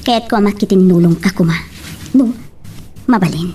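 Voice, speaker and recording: A woman's voice: medium pitch, normal speed, mellow, natural voice. A woman acting an earnest, pressing woman mayor in an Ilocano radio love drama. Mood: pleading, grave. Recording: somewhat distant mic, faint noise.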